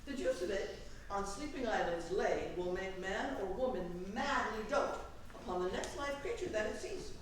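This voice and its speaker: A woman's voice: mid-range voice, moderate pace, lightly acted. A woman playing an imperious, scheming fairy king in a declamatory staged reading of Shakespearean verse. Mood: storytelling. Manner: declamatory, theatrical. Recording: echoey room from far off, faint noise.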